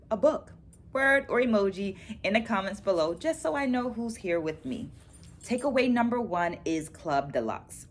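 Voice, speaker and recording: A middle-aged woman presenting to viewers as a dance educator, in a measured, careful, teacherly way. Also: mid-range voice. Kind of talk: book-review vlog, presenting takeaways to viewers. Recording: clear background noise, room ambience.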